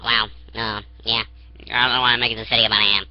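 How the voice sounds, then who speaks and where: A young man talking with a helium-raised voice, giddy and loud, into a headset mic. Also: lowish voice, level tone, fast talker, dry recording. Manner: giddy, loud, laughing exclamations. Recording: dull lo-fi headset audio.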